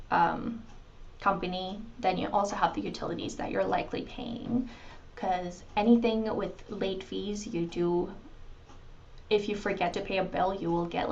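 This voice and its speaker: Gravelly-voiced woman giving friendly advice. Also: on the low side, highs cut off, slight background noise. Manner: conversational, advising, with frequent ums and restarts. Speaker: international student advisor presenting. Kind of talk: casual informational presentation.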